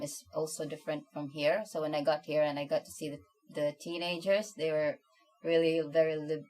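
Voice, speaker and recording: Lady, low voice, pretty flat, lots of ums. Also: low-quality recording. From Philippines. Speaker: guest.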